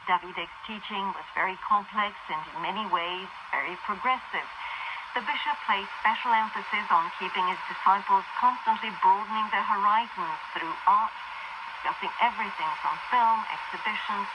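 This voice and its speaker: A woman radio reporter reading a scripted news report, heard over shortwave radio. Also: medium pitch. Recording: noisy crackling shortwave radio reception. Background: heavy radio static.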